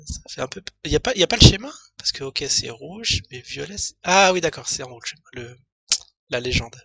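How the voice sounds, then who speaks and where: Gravelly-voiced man with a slight cold. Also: mid-range voice, fairly bright tone. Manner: rambling, thinks aloud with many fillers and asides. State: slight head cold, throat going. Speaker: streamer. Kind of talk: casual news commentary, reading an article aloud.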